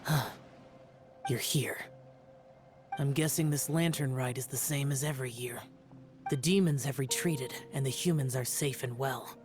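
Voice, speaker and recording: A man's voice: mid-range voice, a little slow, pauses often, close to an everyday voice. Man acting an aloof, solemn guardian warrior over music. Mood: solemn, detached. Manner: reserved, terse, detached. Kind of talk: video game voice acting.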